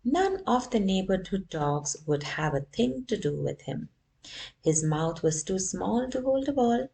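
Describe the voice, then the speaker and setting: A woman's voice: mid-range voice, sing-song, a little slow, creaky, warm tone. A woman reading a children's story aloud and often stopping to ask her young listeners questions.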